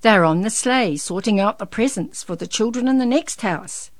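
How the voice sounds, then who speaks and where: A woman's voice: animated, normal speed, no room sound, natural voice. A woman voicing a jolly, kindly old Santa Claus with a big, hearty voice in a children's story. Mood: generous. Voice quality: big, booming.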